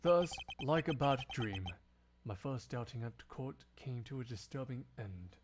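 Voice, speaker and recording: Man playing a low, dry, formal prosecutor. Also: lively intonation, natural voice. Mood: grave, resigned. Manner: dry, measured, pompous formal diction. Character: stern, formal, exasperated young man prosecutor. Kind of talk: game fandub acting with narration.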